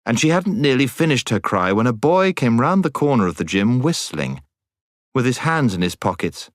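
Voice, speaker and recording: A British man narrating a storybook as a scripted audiobook, in an expressive storytelling manner. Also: medium pitch, moderate pace, dry recording, studio quality.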